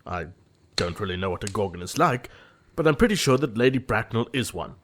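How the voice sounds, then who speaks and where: A man playing an earnest young gentleman suitor in a period comedy audio play, formal and easily exasperated. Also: lowish voice, expressive, a little fast, slight background noise, close to an everyday voice. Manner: formal, earnest, fussily indignant.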